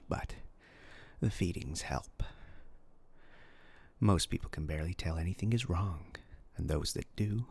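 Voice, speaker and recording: A man playing a sinister, hungry demon in a human body for a dark fantasy roleplay, speaking with slow, mock-polite menace in a gravelly, husky, breathy voice. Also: very deep voice, exaggerated voice. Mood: gloating.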